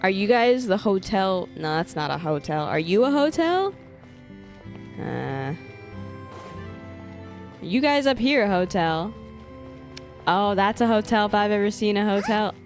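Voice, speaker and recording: A woman's voice: mid-range voice, animated, a little slow, long pauses. A young woman giving casual, playful live gameplay commentary as a streamer, reacting in exclamations. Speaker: game streamer. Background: game music.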